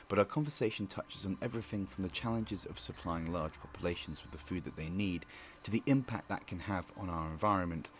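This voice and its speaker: A man hosting an interview podcast, asking conversational, loosely phrased questions. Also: sits low. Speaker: podcast host.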